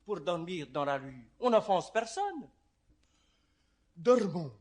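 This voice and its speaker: An actor playing a talkative, sly, grumbling servant in a classical French verse comedy, theatrical and declamatory. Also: male voice, sits high, big pitch swings, slow, darkish tone, exaggerated voice. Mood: weary, grumbling. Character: comic talkative male servant doorkeeper, sly, grumbling, sleepy.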